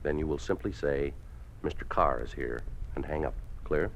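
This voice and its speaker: An actor playing a cold, domineering communist official who speaks curtly in a 1950s radio drama. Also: male voice, extremely low pitch, moderate pace. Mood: commanding. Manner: curt, commanding, interrogating. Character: cold, domineering male Communist Party enforcer. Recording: noisy old 1950s radio broadcast.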